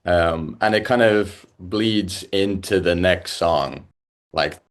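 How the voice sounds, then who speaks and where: A young Irish man, a musician guest, chatting casually in an interview with lots of fillers and restarts. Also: sits low. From Ireland. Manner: halting, many fillers and restarts.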